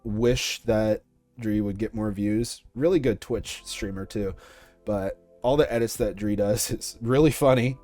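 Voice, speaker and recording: A man's voice: lowish voice, unhurried, mellow. A young man answering questions about his creative work in a casual interview, as a content creator. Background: music.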